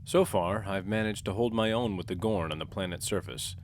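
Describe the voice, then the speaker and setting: Man playing a deep-voiced embattled starship captain. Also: a little fast, a little hiss or hum, lightly acted. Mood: grave, determined. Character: embattled starship captain recording his log. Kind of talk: dramatic scripted log narration.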